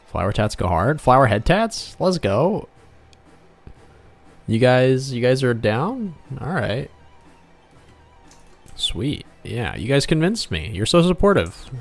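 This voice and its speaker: A man's voice: medium pitch, sing-song, slow, pauses often. A man hosting a casual livestream while he draws, bantering and joking with viewers as a game developer. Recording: music playing behind the voice. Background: background music.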